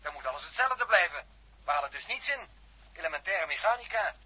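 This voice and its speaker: Know-it-all astronaut scientist, old radio play, high male voice, bit raspy. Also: very animated, brisk, bright, forceful tone, exaggerated voice, low-quality recording. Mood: emphatic, lecturing. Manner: precise, pedantic. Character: pedantic, eloquent male scientist aboard a spaceship. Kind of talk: 1960s Dutch radio drama acting.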